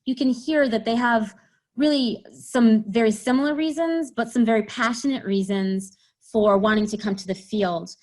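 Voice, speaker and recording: Woman host of a panel call, bit high voice, brisk and friendly. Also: darkish tone. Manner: friendly, brisk, thanks each speaker. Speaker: panel moderator. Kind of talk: moderating a panel.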